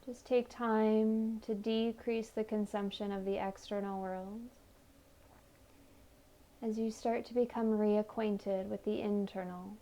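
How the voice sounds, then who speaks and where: A woman leading a guided meditation in a soothing, gentle manner, offering unhurried invitations. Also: mid-range voice, level tone, dull audio. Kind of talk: guided meditation, body scan narration.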